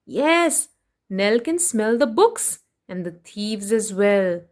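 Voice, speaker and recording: A woman's voice: medium pitch, slow pace. A woman reading a children's storybook aloud expressively, breaking off to put questions to young listeners. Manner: animated, addresses children directly with questions.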